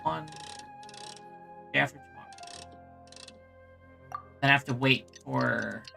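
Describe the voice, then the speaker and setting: A man's voice: medium pitch, slow, creaky, bright, forceful tone. A man giving casual gameplay commentary as a streamer, reading out game prompts. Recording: game music behind the voice.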